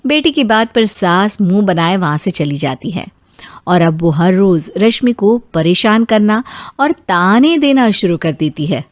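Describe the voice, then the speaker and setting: A woman's voice: medium pitch, expressive, measured pace, no echo. Woman narrator, very dry sound. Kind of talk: scripted story narration.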